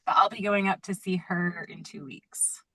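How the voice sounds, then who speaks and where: A woman's voice: on the low side, steady tone, moderate pace, bright, forceful tone, slightly rough, no room sound, low-quality recording. A woman teaching and leading a group call in a casual, chatty, conversational way.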